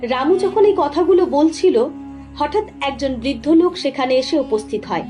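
A woman's voice: high-pitched, lively intonation, normal speed, over music. A woman narrating a scripted storybook tale for a cartoon.

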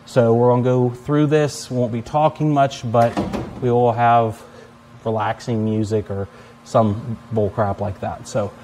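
Casual vlogger, man in his 30s, mid-pitched voice, plain-spoken, faint room hum. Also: level tone, a little slow, warm tone. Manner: plain-spoken, offhand, practical. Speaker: appliance resale vlogger. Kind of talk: casual to-camera explainer. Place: large indoor appliance warehouse. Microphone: phone camera held close.

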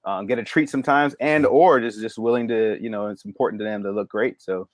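A middle-aged man, a barber guest, chatting casually on a video call and telling stories with lively acted-out quotes. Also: on the low side, fairly quick, dry recording. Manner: animated storyteller, acts out quoted lines. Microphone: video call mic.

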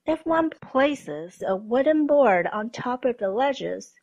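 A woman presenting scripted documentary narration in a measured, explanatory manner. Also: a little high, poor audio.

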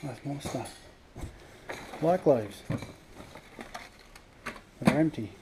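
A man's voice: mid-range voice, lively intonation, extremely slow. A man giving casual running commentary as he explores an abandoned building, remarking on everything he finds. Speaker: urban explorer vlogger. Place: abandoned indoor campus building. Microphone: head-mounted action camera. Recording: noisy handheld camera audio.

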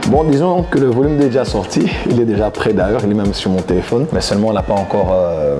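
A man in his thirties from Congo, a preacher answering interview questions in a studio, smiling and speaking thoughtfully with frequent pauses. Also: fairly low pitch. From Democratic Republic of the Congo. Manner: smiling, gesturing with both hands, thoughtful pauses with many "euh". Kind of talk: relaxed interview answers to camera. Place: plain grey studio backdrop, indoors. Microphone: lapel mic. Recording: background music under the voice.

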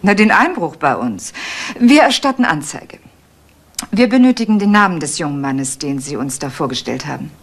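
A woman's voice: deep voice, very animated, normal speed, husky, full character voice. A woman acting an insistent, curt married complainant in a radio crime drama. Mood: insistent, indignant.

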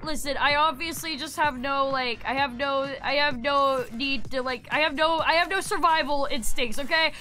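A woman's voice: sits high, moderate pace, hardly any pauses, bright, forceful tone. A young woman streaming a game, sick and losing her voice, chatting casually, swearing and shrieking when startled. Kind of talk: casual gameplay streaming chat. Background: faint game music.

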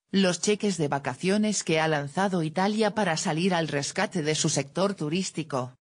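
A woman reading a news report in an even, measured voice-over. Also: low-pitched. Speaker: news narrator. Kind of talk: read news narration. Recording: voice-over with loud music bed. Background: music.

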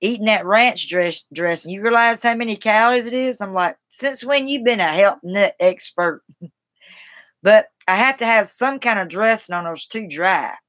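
A woman's voice: mid-range voice, moderate pace, a bit bright. Southern lady from south carolina just rambling casually to her viewers on a webcam, folksy, middle aged. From South Carolina, United States. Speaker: livestream host. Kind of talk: casual chat, responding to viewers. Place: small room at home, indoors.

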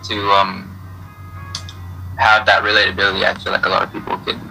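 A man's voice: low-pitched, a little fast, soft background music, poor audio. Young man chatting casually on a video call. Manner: relaxed, hesitant with fillers. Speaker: guest musician. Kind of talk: casual interview answers. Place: indoor room.

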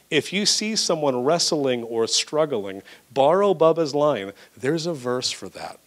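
A man preaching a church sermon, reading scripture aloud and then urging his congregation to serve others. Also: medium pitch. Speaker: pastor.

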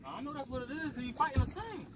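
A man fishing from a boat out at sea, calling out his catches in excited casual talk. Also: very high voice, quick pace, noisy background. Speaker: angler on a fishing trip.

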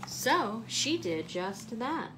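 A woman in her thirties, a children's librarian, reading a picture book aloud expressively with clear phrasing in a small room. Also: a little high, sing-song, drawn-out words, background noise. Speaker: children's librarian, storytime reader.

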